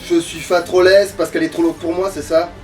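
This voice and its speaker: A man with a noticeably high voice chats his way through a video game. He is crude and grumbles with plenty of swearing. He sounds some distance from the microphone, with music playing underneath. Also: level tone, a little fast, darkish tone. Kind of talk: casual gaming commentary. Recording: distant mic, music behind.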